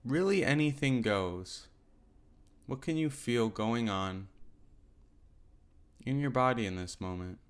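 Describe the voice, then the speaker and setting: A man leading a guided mindfulness meditation as a teacher, giving gentle, unhurried instructions with pauses. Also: medium pitch, expressive, clear, resonant tone.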